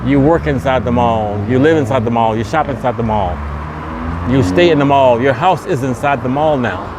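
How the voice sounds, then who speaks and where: A man narrating a walking vlog outdoors into a handheld camera, repeating promotional catchphrases as the host. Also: medium pitch. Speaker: vlogger and host. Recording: loud outdoor street traffic noise. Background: traffic and vehicle noise.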